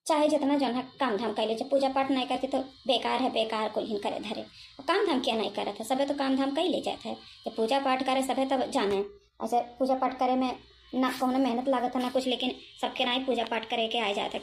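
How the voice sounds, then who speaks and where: A woman's voice: high-pitched, a little hiss or hum. A woman with an eastern Uttar Pradesh accent voicing rustic cartoon comedy dialogue in a rambling way, full of repeated filler phrases. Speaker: cartoon voice performer. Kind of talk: dialect comedy cartoon dialogue with folk singing.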